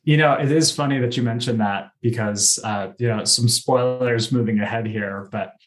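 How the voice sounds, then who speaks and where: A man's voice: mid-range voice, normal speed. A man co-hosting a podcast, explaining bits of fan trivia in casual conversation. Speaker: podcast co-host.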